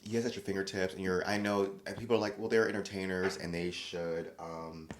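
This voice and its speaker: A man with a low voice chats on his own podcast, rambling and restarting his sentences. He slips into lively quoted voices now and then. The sound is roomy and echoey. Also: fairly quick. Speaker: podcast host. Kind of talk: casual solo podcast commentary.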